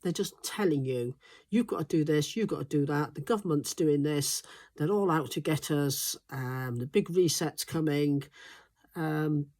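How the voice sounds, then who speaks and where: Congested, low-voiced woman rambling casually. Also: measured pace, darkish tone. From Wales. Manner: rambling, frequent ums and restarts. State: congested from flu. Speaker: smallholding vlogger. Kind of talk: casual chatty monologue.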